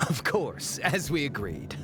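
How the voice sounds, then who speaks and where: A man's voice: a little high, very animated, a little slow. A man playing a greedy, boastful treasure hunter in a video game, with a theatrical and easily indignant manner. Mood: smug, gloating. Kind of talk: scripted video game dialogue. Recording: music behind the voice.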